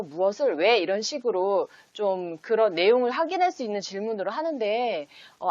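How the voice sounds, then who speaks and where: Woman explaining stuff step by step to parents, really lively pitch, kinda dull dry recording. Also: dry recording. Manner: explanatory, poses example questions as if to a child. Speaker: host teaching parents how to read picture books with children. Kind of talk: instructional video talk in numbered steps.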